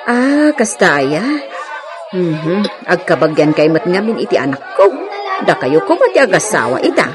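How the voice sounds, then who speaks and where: A woman's voice: fairly low pitch, sing-song, moderate pace, music in the background, crowd noise. An actress with an Ilocano accent playing a concerned mother and aunt in a family radio drama. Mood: chatty.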